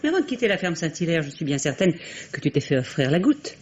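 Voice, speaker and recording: An actress playing a bitter, spiteful middle-aged wife in a French radio drama, biting and sardonic. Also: female voice, low-pitched, sing-song, fast talker, slightly rough, exaggerated voice. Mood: suspicious. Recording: dull, noisy old radio recording.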